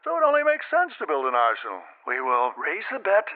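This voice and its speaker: A man's voice: a little high, wildly swooping pitch, brisk. An actor playing a confident, hawkish American government spokesman speaking over the phone in a radio drama. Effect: phone line. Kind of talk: radio interview statement in a drama. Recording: tinny phone line.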